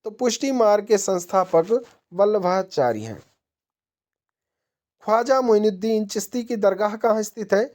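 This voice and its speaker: A woman's voice: medium pitch, animated, moderate pace, long pauses, darkish tone. A woman narrating a question-and-answer quiz lesson as an exam-prep teacher, methodically posing each question and then answering it.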